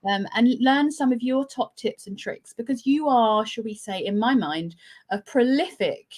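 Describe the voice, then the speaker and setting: British woman host, chatty and gushing, slightly high voice, live video call. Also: moderate pace, darkish tone. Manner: chatty and effusive, with fillers and laughs. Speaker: host of a live crochet show. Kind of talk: casual live-stream chat.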